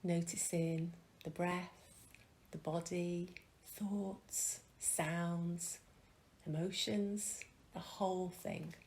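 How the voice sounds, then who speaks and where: A woman leading a guided mindfulness meditation, speaking calmly and gently with long pauses and soft, breathy phrase endings. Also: lowish voice. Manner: calm, gentle, unhurried with pauses. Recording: faint background noise.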